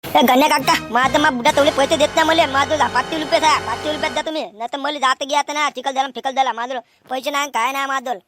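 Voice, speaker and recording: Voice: high-pitched, steady tone, loud music behind the voice, lightly acted. A voice actor doing a rowdy, foul-mouthed cartoon village guy. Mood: scolding. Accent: Vidarbhi Marathi. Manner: rapid, scolding, abusive banter. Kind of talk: cartoon comedy voice acting.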